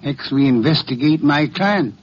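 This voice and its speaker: An actor playing a pompous, shrewd, lazy genius detective in a vintage radio mystery, speaking formally and deliberately. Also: male voice, on the high side, animated, husky. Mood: dry, formal. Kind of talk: 1940s-50s radio detective acting. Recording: dull vintage radio drama recording.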